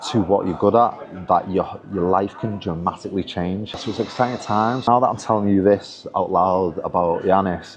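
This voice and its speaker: A deep-voiced man speaks straight to camera as an online business coach, earnest and encouraging. He often restarts his sentences. Crowd chatter carries behind him. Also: poor audio. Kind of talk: casual motivational talk to camera.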